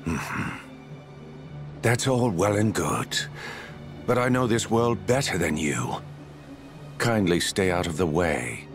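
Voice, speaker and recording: A man voicing a cold, dismissive villain who speaks in a curt, measured way. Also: sits low, lively intonation, over music, heavily acted.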